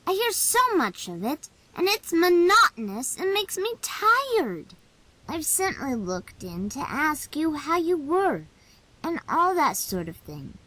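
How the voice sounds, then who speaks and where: Woman voicing a high-pitched, unhurried young boy. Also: fairly bright tone, full character voice, poor audio. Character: weary, frank, polite young country boy. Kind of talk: dramatized storybook character acting.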